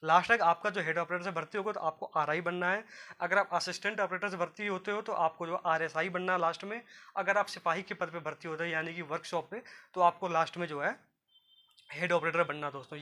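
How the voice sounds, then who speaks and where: A man with a high, bright voice explains things informally to his viewers. He talks quickly and repeats each step, addressing listeners like friends. There is faint noise behind him. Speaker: presenter of an exam and career guidance video.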